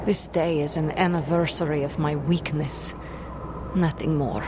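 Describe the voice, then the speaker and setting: An actress playing a guarded, solemn young sorceress haunted by her childhood, speaking formally and deliberately in a video game drama. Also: deep voice, steady tone, background noise. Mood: bitter, solemn. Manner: formal, measured, brooding wording without contractions. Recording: loud music behind the voice. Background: music.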